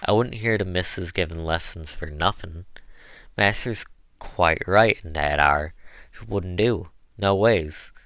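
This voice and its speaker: A man's voice: low-pitched, a little slow, a bit bright, no room sound. A man voicing an eager, good-humored woman, an enslaved cook, in folksy dialect for an audiobook. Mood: earnest. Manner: folksy dialect with mispronounced words. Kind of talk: audiobook character voice.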